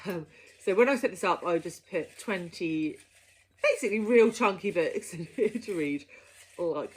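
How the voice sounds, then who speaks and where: Woman, lowish pitch, casual book-vlog delivery, playful and keen. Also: breathy edge, rough recording. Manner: playful, enthusiastic, given to giggling. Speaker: book vlogger presenting a reading list. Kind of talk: casual chatty monologue to viewers.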